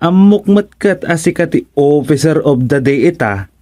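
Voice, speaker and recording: An actor with an Ilocano accent playing a chummy, flattering village councilman in a radio comedy. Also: fairly high pitch, normal speed, close to an everyday voice.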